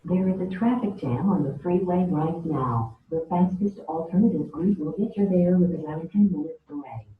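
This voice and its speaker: A synthetic voice speaking as an in-car voice assistant, polite and even. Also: mid-range voice, normal speed, hardly any pauses, recorded from a distance, lightly acted. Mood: neutral. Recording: tinny, echoey clip played from afar.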